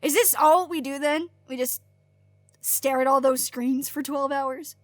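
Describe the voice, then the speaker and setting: A woman's voice: high voice, steady tone, a little slow, dry recording. A woman playing a brash, chatty, sarcastic agent with a blunt way of talking in an audio drama. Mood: sarcastic, needling. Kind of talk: naturalistic audio drama dialogue.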